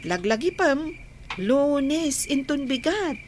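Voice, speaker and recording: A woman's voice: high voice, big pitch swings, slow pace, a little hiss or hum, full character voice. A woman with an Ilocano Filipino accent playing an earnest young woman in love in a radio drama. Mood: mildly reproachful.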